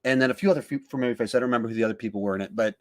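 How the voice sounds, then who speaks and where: Man, mid-pitched voice, podcast host, casual discussion, animated asides. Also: rapid-fire.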